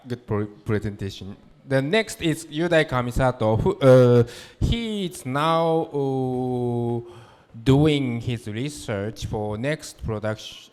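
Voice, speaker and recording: A man moderating a symposium who introduces the next speaker loudly and haltingly. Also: medium pitch, smooth.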